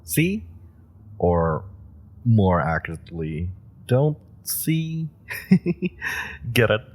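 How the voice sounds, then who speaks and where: A man's voice: lowish voice, sing-song, very slow, a little mellow, full character voice. A man voicing an eager young superpowered rookie in an audio roleplay drama, rambling and giggling as he stumbles over his words. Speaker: voice actor.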